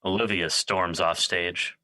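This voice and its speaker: A man's voice: low-pitched, steady tone, slow, sharp tone. A man giving scripted narration, reading stage directions as a narrator.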